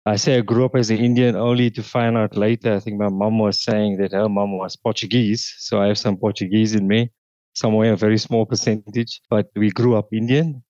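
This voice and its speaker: South African man, guest, lowish voice, measured and halting, dull dry sound. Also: flat delivery, mellow, no room sound. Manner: halting, restarts and qualifiers. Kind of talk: casual interview testimony.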